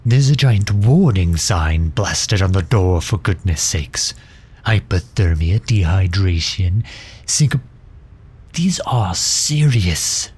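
A man playing a commanding, protective villain in a roleplay audio drama, formal and stern, with a husky, breathy voice. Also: sits low, animated, darkish tone, heavily acted. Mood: alarmed, scolding. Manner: formal, stern, halting when flustered. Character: protective, commanding male villain fretting over injured hero. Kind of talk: scripted roleplay audio drama in ASMR style.

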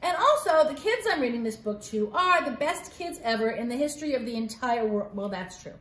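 A middle-aged woman reads a story to children in a high, very lively voice. She is playful and theatrical, slipping in mock-exasperated asides, and the room echoes around her as a phone records from a few feet away. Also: fairly quick. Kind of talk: animated read-aloud of a picture book for children. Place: living room at home, indoors. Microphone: phone camera a few feet away. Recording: roomy home phone-video sound.

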